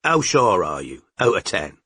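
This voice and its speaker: A man voicing a gruff, terse male detective with a husky voice, asking short probing questions in an audiobook. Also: low-pitched, sing-song, normal speed, bright, forceful tone, no echo, pitched below the performer's own voice, heavily acted. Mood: questioning. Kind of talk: audiobook character voice.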